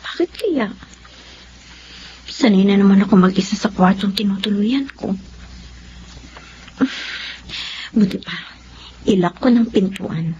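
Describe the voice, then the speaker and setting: A woman's voice: mid-range voice, expressive, normal speed, pauses often, darkish tone, close to an everyday voice. A woman with a Filipino accent playing a frightened, wronged young woman in anguish in a Tagalog radio drama. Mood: uneasy. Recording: dull lo-fi radio drama, clear noise.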